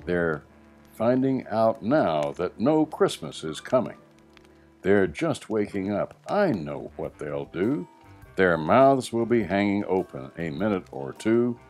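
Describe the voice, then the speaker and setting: A man's voice: fairly low pitch, very animated, takes it slowly, a little mellow, soft background music, exaggerated voice. A man voicing a sly, gleeful, grumpy Christmas-hating creature in a storybook reading, mock-sweet and gloating.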